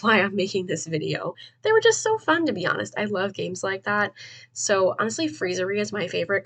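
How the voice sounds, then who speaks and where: A woman's voice: medium pitch, fairly bright tone. A young woman with a stuffy, slightly nasal voice, casually talking through a game build as a chatty voice-over host. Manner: chatty and rambling, with fillers and laughs. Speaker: gaming video host. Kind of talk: casual voice-over gameplay commentary.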